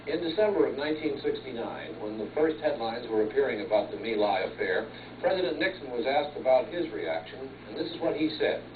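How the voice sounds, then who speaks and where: A man's voice: medium pitch, lively intonation, brisk, distant mic. A man with an American accent reading a scripted television news report as anchor. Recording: hissy, tinny off-air tape, echoey.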